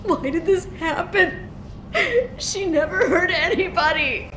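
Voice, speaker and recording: Female game role: bereaved working-class mother, desperate, tearful, clear background noise. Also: very high voice, slow pace, a bit bright, heavily acted. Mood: distraught. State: distraught, tearful. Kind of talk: video game voice acting. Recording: clearly noisy game ambience.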